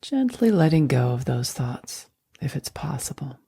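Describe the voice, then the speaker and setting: A woman guiding a sleep meditation in a soft, breathy near-whisper, speaking slowly and gently with long, soothing pauses. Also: extremely low pitch, big pitch swings, dry recording. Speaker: meditation guide.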